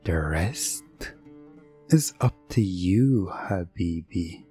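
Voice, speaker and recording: Male narrator, very low pitch, slow, breathy, suspenseful ASMR storytelling, music underneath. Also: lively intonation, mellow.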